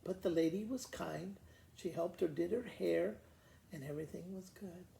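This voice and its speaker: Creaky-voiced man storytelling, roomy echo. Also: sits high, normal speed, warm tone. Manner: simple, conversational retelling addressed to children. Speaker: school principal reading to pupils. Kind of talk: informal children's storytelling with picture commentary. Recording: roomy echo, faint background noise.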